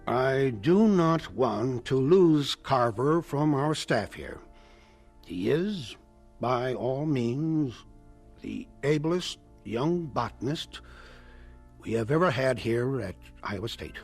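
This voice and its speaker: Older male college president for radio drama: husky, slow and ponderous, self-assured but hemming and hawing. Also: mid-range voice, lively intonation, a little mellow, soft background music, exaggerated voice. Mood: dictating, regretful. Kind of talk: audio drama acting.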